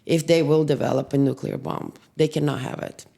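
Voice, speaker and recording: Low-voiced woman. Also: fairly even intonation, a little fast, vocal fry, warm tone. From Ukraine, raised in Israel. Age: 40s. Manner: direct and plainspoken, with non-native grammar. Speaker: interview guest.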